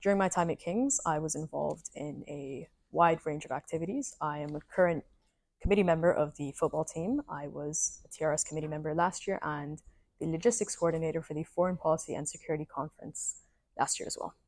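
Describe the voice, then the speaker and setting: A woman's voice: sits low, fairly quick, vocal fry, a little mellow, dry recording. A young woman from Saudi Arabia, a student candidate giving a composed prepared statement. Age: young adult. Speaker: election candidate.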